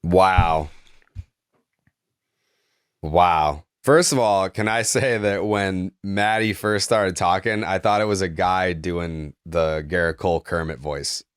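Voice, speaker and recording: A man's voice: sits low, slow pace, clear, resonant tone, dry recording, clean studio sound. A man co-hosting a sports podcast, bantering casually in a blunt, teasing way. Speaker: podcast co-host.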